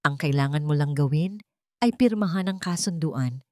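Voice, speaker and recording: A woman's voice: sits low, moderate pace, a little mellow, no echo, studio quality, close to an everyday voice. A woman reading the lines of a cold, domineering old wealthy patriarch in a commanding, businesslike way.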